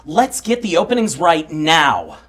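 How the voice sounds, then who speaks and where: A man's voice: fairly high pitch, big pitch swings, a little slow, a bit bright, voice pushed up. A man who works as a voice actor, putting on varied theatrical character voices in a demonstration. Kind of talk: voice acting demonstration.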